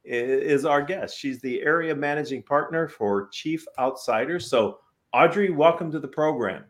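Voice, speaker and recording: A man's voice: a little high, moderate pace. A man hosting a business interview show, welcoming his guest and asking short encouraging questions. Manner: brief encouraging prompts. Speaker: host.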